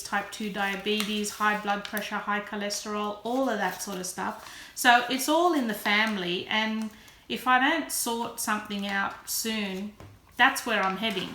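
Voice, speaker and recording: Woman in her early forties, bright forceful voice, chatty, candid, self-deprecating, casual vlog, roomy echo. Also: medium pitch. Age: early 40s. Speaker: vlogger. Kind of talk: casual personal vlog to camera. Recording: roomy echo, faint background noise.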